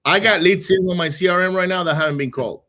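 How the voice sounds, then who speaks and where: Animated Venezuelan-raised man, higher voice. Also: rapid-fire. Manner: animated, repeats words when starting sentences. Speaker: guest real estate investor. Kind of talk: casual live panel chat.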